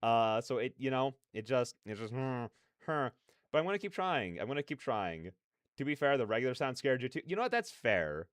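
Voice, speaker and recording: Male streamer, mid-pitched clean smooth voice, dry close sound, rambling and slangy. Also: very animated, brisk, no room sound. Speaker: livestream host. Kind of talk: casual reaction livestream chat.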